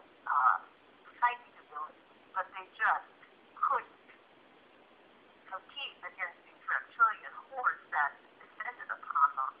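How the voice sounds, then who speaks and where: A woman giving an unscripted interview over the phone as the guest, speaking earnestly and haltingly, repeating words for emphasis. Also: fairly low pitch. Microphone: telephone. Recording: tinny, muffled phone line.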